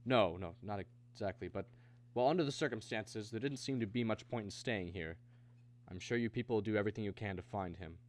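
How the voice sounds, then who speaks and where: An actor playing an anxious, earnest American family man in a mid-century radio drama, with hesitant, halting speech. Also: lowish voice, close to an everyday voice. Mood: guarded, resigned.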